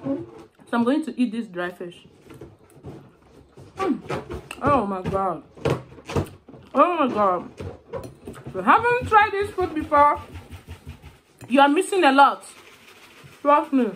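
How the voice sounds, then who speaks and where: A young Nigerian woman whose first language is Igbo, filming a mukbang eating vlog and humming slowly with pleasure between bites. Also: high-pitched, big pitch swings, a bit bright, slight background noise. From southeastern Nigeria. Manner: slow, savoring, with drawn-out hums between bites. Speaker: food vlogger.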